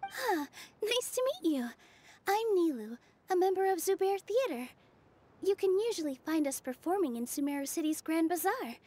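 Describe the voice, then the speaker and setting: A woman voicing a gentle, gracious young dancer in a video game, polite and soothing. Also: very high-pitched, very animated, moderate pace. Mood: friendly, gracious. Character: gentle, gracious young female dancer playing forest fairy. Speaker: game character voice. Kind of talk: animated game voice acting.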